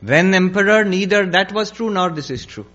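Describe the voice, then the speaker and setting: A man's voice: high-pitched, fairly even intonation, moderate pace, fairly bright tone, lo-fi sound, soft background music, voice pushed up. A man voicing a wise sage in a spoken parable, putting formal, rhetorical questions. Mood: probing. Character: wise male sage, calmly probing an emperor.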